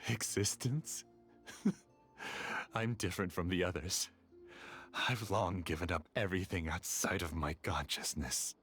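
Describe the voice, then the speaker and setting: A man's voice: deep voice, unhurried, pauses often, fairly bright tone. An actor playing a despairing, bitter male scholar in a video game, speaking dramatically. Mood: resigned. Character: despairing male scholar, obsessed with a lost loved one. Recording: music behind the voice.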